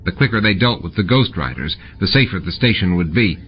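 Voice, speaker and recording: Low-voiced male audiobook narrator, dull tape. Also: lively intonation, fairly quick, slight background noise. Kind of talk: scripted fiction narration. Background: music and sound effects.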